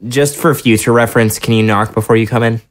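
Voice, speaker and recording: An actor playing a sullen, defensive young adult son in an audio drama, clipped and mildly sardonic. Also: male voice, mid-range voice, steady tone, quick pace, natural voice. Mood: guarded. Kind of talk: naturalistic audio drama dialogue.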